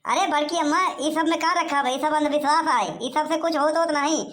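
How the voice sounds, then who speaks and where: Cheeky young cartoon lad played by a woman, very high-pitched, sly, whiny, Awadhi accent. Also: fairly even intonation, a little fast, lightly acted. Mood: evasive. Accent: Awadhi, eastern Uttar Pradesh.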